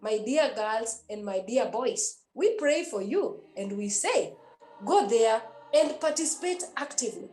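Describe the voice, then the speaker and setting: High-voiced nun exhorting youth. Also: female voice, animated, measured pace, darkish tone. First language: a Kenyan language. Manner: earnest and repetitive, with many hesitations. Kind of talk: exhortation to young people. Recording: roomy echo with faint music.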